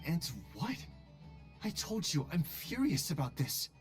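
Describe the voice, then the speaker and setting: An actor voicing an earnest, fretful colleague in a video game, speaking politely but emphatically. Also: male voice, a little high, sing-song, unhurried, soft background music. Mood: exasperated, indignant. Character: earnest, fretful male colleague, concerned and exasperated.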